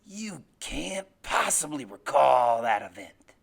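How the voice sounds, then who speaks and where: Slow, cold, menacing killer father. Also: mid-range voice, big pitch swings, gravelly, slightly rough, pitched below the performer's own voice, exaggerated voice. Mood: menacing, insistent. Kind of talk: audiobook character voice.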